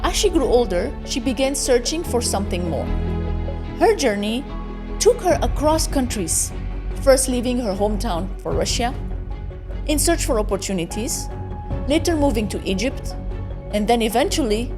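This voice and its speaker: A woman's voice: fairly high pitch, big pitch swings. Woman narrating an intro over music. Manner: measured, dramatic storytelling. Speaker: show host. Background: background music.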